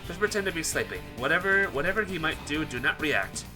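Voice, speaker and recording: A man's voice: on the high side, very animated, fairly quick. A man voicing a boisterous, bossy teenage boy roommate in a visual novel, blunt and commanding. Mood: bossy, urgent. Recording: music behind the voice.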